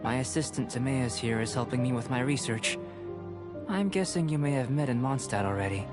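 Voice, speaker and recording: A man voicing a calm, cerebral young alchemist scholar in a video game, measured, formal and coolly detached. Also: mid-range voice, close to an everyday voice. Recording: music behind the voice.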